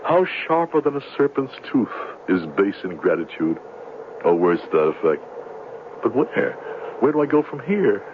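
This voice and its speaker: A florid swindler from a 1970s radio drama, low in pitch and sweeping grandly up and down. He is theatrical and pompous, a fallen huckster posing as a doctor. The sound is tinny. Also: big pitch swings, moderate pace, noisy background. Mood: mournful. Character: grandiloquent, down-on-his-luck male con man posing as doctor.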